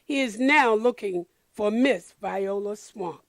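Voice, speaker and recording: A woman reading a children's picture book aloud expressively, deliberately addressing children and explaining the pictures. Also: medium pitch, no echo.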